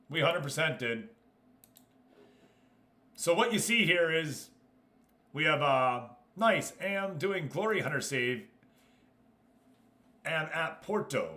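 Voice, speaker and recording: A man's voice: fairly high pitch, sing-song, slow, long pauses, sharp tone. An American man hosting a livestream, chatting casually with viewers and commentating on a game as he goes. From United States. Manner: chatty, hops between addressing viewers and explaining. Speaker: live streamer and host.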